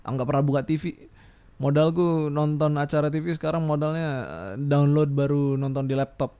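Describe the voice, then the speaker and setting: A man with a fairly high voice and an Indonesian accent hosts a solo podcast. He rants casually and off the cuff, wandering along in blunt slang with the odd mild swear word. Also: moderate pace, warm tone, smooth. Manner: blunt, rambling, slangy, mildly profane. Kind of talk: unscripted casual ranting monologue.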